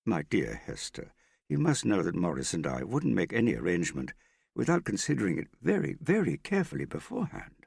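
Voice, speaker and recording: A male narrator plays a vain middle-aged father with a gravelly, swooping voice. He talks in a pompous, affected way, wheedling and pitying himself like a character who performs every line in a storybook drama. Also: low voice, sing-song, moderate pace, dry recording, clean studio sound, voice pushed up, full character voice. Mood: smug, reassuring. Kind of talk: audiobook character dialogue.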